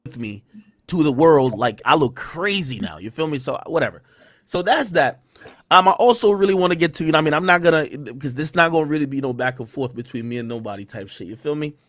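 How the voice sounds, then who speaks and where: A man's voice: fairly high pitch, lively intonation, fast, fairly bright tone, dry recording, studio quality. A livestreamer man ranting defensively, rambling in heavy slang. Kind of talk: livestream rant.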